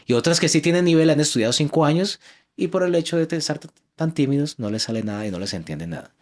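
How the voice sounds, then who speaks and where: A man's voice: a little high, lively intonation, fast talker, a little mellow. A man hosting a casual interview, who chimes in often with short words of agreement. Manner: supportive, frequent short agreements. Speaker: host.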